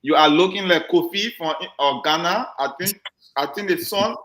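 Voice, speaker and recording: A man's voice: high voice, normal speed, a bit bright. A man hosting a community talk show, joking and chatting easily and often repeating his words. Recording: roomy echoey sound.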